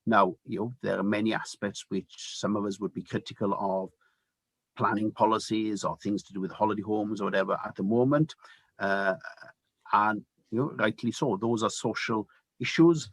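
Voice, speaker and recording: Husky, hesitant Welsh-born man. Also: fairly low pitch, steady tone, normal speed, a bit bright, no echo. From Wales. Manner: hesitant, many fillers. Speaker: politician guest. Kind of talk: interview answers.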